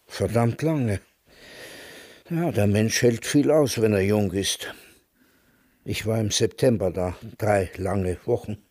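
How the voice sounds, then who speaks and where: An actor playing a wry, embittered old camp survivor in a radio drama, dry and sardonic in conversation. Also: male voice, on the low side, slow, long pauses, darkish tone, dry recording. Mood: dry, weary. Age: older.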